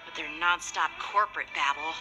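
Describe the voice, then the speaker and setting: A woman's voice: deep voice, moderate pace, bright, forceful tone, faint music behind, poor audio. A woman voicing spirited, earnest young swordfighters in an anime-style video game.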